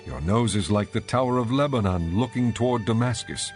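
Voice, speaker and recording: Audio drama bridegroom, male actor: low register, reverent and poetic, adoring, scripture recitation with light music underneath. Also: animated, brisk, darkish tone, natural voice. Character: adoring male lover praising his bride, ancient royal poetry.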